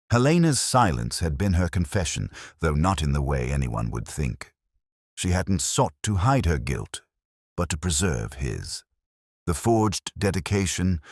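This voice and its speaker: An actor playing a weary, melancholy middle-aged detective, narrating a scripted mystery in a measured, literary, sombre way. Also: male voice, very deep voice, no echo, clean studio sound, full character voice.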